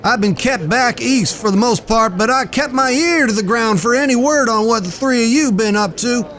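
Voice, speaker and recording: A jovial frontier marshal, played by a man, pitched very high for a male voice. He talks in folksy, salty cowboy phrases, as in a Western audio drama. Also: normal speed, fairly bright tone. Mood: jovial, teasing. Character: jovial, foul-mouthed frontier US marshal, old frenemy.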